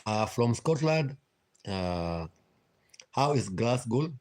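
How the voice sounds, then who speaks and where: A Kenyan man chatting casually with viewers on a live stream, pausing often on "uh" and repeating himself. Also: medium pitch, animated, darkish tone. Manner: hesitant, frequent "uh" fillers, repeats himself.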